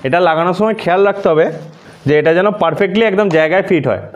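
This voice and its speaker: A man's voice: high-pitched, racing, muffled recording. A man narrating a casual step-by-step tutorial, explaining things and mixing in English words. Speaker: host of a motorcycle gear tutorial.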